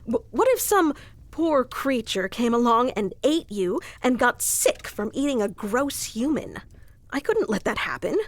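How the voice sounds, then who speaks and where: Woman acting a high-voiced, prickly tsundere elf girl. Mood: flustered, haughty. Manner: prickly tsundere, archaic wording, stammers when flustered. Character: haughty, flustered young female elf guarding her forest. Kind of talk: scripted fantasy ASMR roleplay acting.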